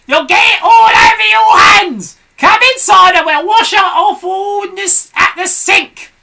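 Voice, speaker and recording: A woman's voice: measured pace, vocal fry, pushed and bright, shouting, exaggerated voice. A woman reading aloud and voicing a nasty old woman who shrieks and screeches in hysterical panic. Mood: shrieking panic. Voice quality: shrill, screeching.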